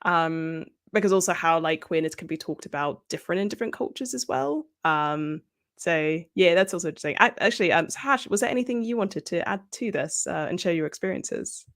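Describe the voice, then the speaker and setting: A woman's voice: on the low side, a little fast, a bit bright. A woman moderating a panel casually, in an informal and encouraging manner. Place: video call.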